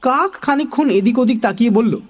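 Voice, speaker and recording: A man narrating a children's story in an expressive, theatrical way, also speaking as the young boy in it. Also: very high-pitched, fairly quick. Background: music.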